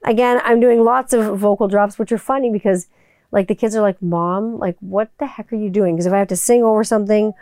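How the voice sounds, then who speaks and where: Casting note: woman voiceover artist in a loose, rambling home monologue; smooth clear voice, dry close sound. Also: mid-range voice, steady tone, a little fast, darkish tone, no echo. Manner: chatty, rambling, swears casually. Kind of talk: casual monologue to viewers. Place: home room with people upstairs.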